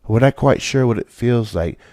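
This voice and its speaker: A man playing an earnest male scientist in an audio drama, explaining things in deliberate phrases. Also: medium pitch, mellow, dry recording, clean studio sound, natural voice. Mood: urgent, explaining.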